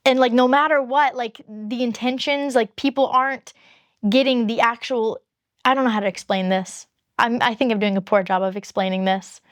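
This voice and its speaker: A woman YouTuber with a high-pitched voice, chatting candidly to her viewers and rambling through long explanations. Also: fairly even intonation, normal speed, no room sound, studio quality. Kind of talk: casual chat to camera.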